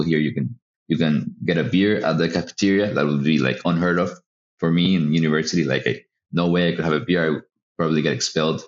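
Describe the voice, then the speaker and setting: Man from el salvador, extremely deep voice, relaxed hesitant guest, slightly dull recording. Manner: relaxed, hesitant, many fillers. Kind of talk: casual interview answers.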